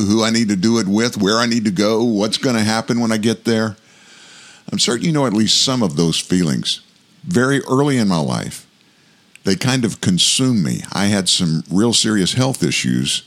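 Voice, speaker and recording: Sixties male host, deep booming voice, reads reflective scripted commentary at a steady pace. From South Louisiana, US. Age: 60s. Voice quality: big voice. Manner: measured, reflective delivery. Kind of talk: scripted political commentary monologue.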